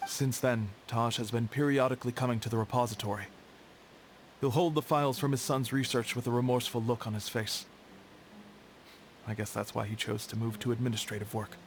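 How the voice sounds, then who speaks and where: A man playing a sober, matter-of-fact archivist in a video game, explaining things in a measured way. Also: medium pitch, steady tone, a little hiss or hum, natural voice. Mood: somber.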